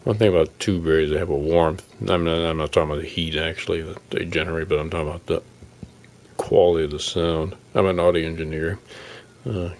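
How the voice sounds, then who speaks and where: A man's voice: low voice, flat delivery, fairly quick, a little mellow. A man giving a casual, unscripted demonstration as a hobbyist, musing and plain-spoken with hesitations. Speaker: radio restorer presenting his work; college-trained audio engineer. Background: tube radio playing at times.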